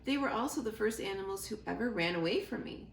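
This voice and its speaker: A woman voicing a gentle, motherly female robot with measured, formal phrasing in a storybook reading. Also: medium pitch, animated, vocal fry, reverberant, slight background noise. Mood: polite.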